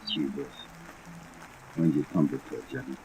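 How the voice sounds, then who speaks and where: A man's voice: extremely low pitch, steady tone, moderate pace, music in the background, poor audio. An actor playing an uneasy, slightly drunk insurance man in a 1950s radio drama, muted and hesitant. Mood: uneasy, subdued. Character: subdued, uneasy, tipsy male insurance man. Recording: old radio recording, faint rain noise.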